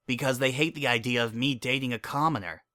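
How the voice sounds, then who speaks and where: Casting: man playing relaxed, affectionate noble girl pony for storybook, measured delivery, dry close sound. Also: medium pitch, no room sound, lightly acted. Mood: firm, resigned. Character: easygoing, romantic young female noble pony. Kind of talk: dramatic reading of fan fiction.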